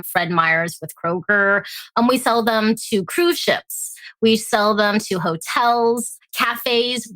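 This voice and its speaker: A woman raised in Alaska and born in Hong Kong, a tea company founder chatting as a guest in an interview, lively and laughing often. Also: medium pitch, no echo. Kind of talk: casual interview chat.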